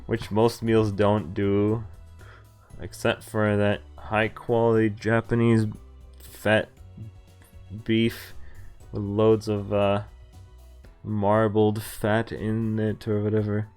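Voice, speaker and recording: A man's voice: on the low side, completely flat delivery, takes it slowly, long pauses, a little mellow, clear, resonant tone. Casual male game streamer over music. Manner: informal, jokey asides between read lines. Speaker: streamer playing a visual novel. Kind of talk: casual playthrough, reading game text aloud with commentary.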